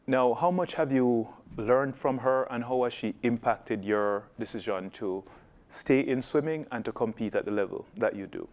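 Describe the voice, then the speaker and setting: A man's voice: medium pitch, normal speed, a little mellow. Man hosting a sports interview. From Jamaica. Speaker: sports show host.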